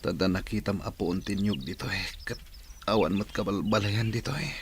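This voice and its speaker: A man playing a low-pitched, plain-spoken Ilocano islander. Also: fairly quick, background noise, close to an everyday voice. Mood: weary. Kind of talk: Ilocano radio drama acting.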